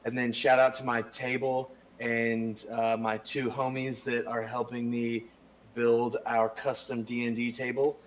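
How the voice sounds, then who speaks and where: A man chatting as a guest, enthusiastic and relaxed, with slangy speech. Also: medium pitch, measured pace, lo-fi sound, slight background noise. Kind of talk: casual chat.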